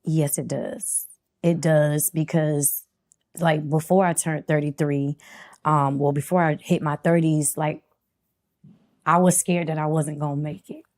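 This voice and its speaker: A woman in her thirties with a deep, relaxed voice shares personal testimony as a guest at a church talk. She speaks earnestly and with devout conviction, in an unpolished, conversational way. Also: unhurried. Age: 30s. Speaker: interview guest at a church Bible study.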